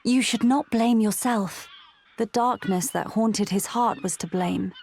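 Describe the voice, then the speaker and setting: A woman's voice: medium pitch, a little slow, darkish tone, slight background noise, lightly acted. A woman voicing a dignified, solemn young noblewoman in a video game, formal and composed. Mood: gentle, composed. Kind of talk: dramatic video game voice acting.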